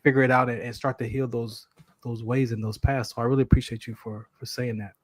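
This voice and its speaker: Casting: male interview host, mid-pitched, earnest, stumbling restarts, dry close-mic sound. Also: level tone, brisk, dry recording. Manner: earnest, repeats words, says "right?" often. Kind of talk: casual interview talk.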